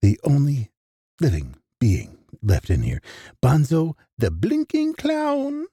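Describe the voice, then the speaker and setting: A man giving a theatrical first-person horror narration with a gravelly, husky voice, dropping into hushed asides. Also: fairly high pitch, huge pitch swings, takes it slowly, no room sound, studio quality. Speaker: storyteller.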